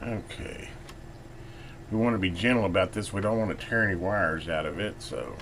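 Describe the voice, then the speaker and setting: A man's voice: low-pitched, moderate pace, fairly bright tone. A man giving casual hands-on repair commentary as a video host, thinking aloud while he works. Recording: clearly noisy hobbyist video recording.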